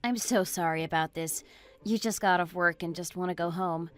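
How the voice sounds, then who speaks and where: A woman voices a troubled wife in a narrated drama story. She explains things in a measured, somewhat low voice over faint background music. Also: lightly acted. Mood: apologetic. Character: wronged wife recounting her suspicions, apologetic and troubled. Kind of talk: scripted first-person story narration.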